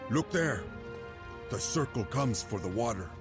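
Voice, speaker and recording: An actor playing a grave, wary male guide and companion in a video game, speaking in a measured, sceptical way. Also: medium pitch, expressive, music in the background, close to an everyday voice. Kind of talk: cinematic video game voice acting.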